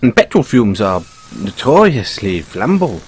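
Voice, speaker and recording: A man acts out a curious Irish bunny-boy mechanic from an audio roleplay. His pitch swings widely as he talks, and the voice has a slightly husky edge. He is polite and gently nosy, picking his words with wry understatement. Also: sing-song, moderate pace, heavily acted. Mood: tactful, wry. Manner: gently probing, wry understatement, hesitant phrasing.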